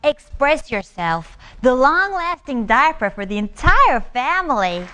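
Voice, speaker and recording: A woman's voice: high voice, sing-song, measured pace, sharp tone, a little hiss or hum, full character voice. A woman playing a perky, gushing co-host of a futuristic TV race show, with theatrical, exaggerated enthusiasm. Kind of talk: theatrical game show presenting.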